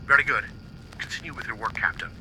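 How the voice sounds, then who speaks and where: A man's voice: mid-range voice, fast talker, pushed and bright, slight background noise, low-quality recording. A man playing a stern military commander who gives a formal, secretive briefing.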